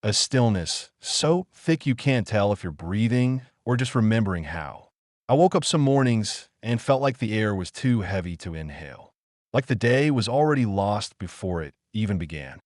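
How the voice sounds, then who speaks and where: A male actor plays a haunted, introspective man confessing in a one-man audio drama. His low voice moves widely in pitch while staying grave and deliberate. The phrases are measured and separated by pauses, in a close, dry recording. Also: lively intonation, a little mellow, dry recording, lightly acted. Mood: bleak, heavy. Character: wounded, introspective man haunted by childhood trauma. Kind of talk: dramatic monologue.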